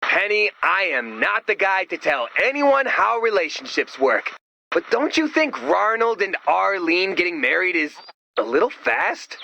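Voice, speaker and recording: A man voicing a goofy, flippant, theatrical sidekick in a video game, with a raspy voice. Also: high-pitched, big pitch swings, a little slow, sharp tone, lo-fi sound, slight background noise, heavily acted. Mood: uneasy. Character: goofy, excitable, deadpan-joking male sidekick.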